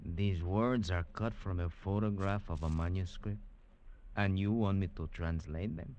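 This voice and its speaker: An actor playing a sharp, courtly old male translator in a 1950s radio drama. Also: low-pitched, measured pace. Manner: polite, courtly and eager. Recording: old radio broadcast, faint hiss.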